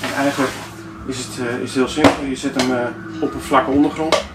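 A man's voice: mid-range voice, fairly even intonation, fairly quick. Man, fifties, informal vlog demonstrator, hesitant delivery, distant roomy sound. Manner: hesitant, searching for words. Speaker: sailing vlogger. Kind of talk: informal demonstration talk to camera. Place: inside a sailboat cabin at the navigation desk. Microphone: camera a few steps away. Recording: roomy, distant mic, clearly noisy.

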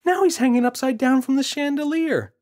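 A man's voice: very high-pitched, a little fast, a little mellow, voice pushed up. A man voicing an indignant, exasperated young boy in a children's story, pleading and insistent.

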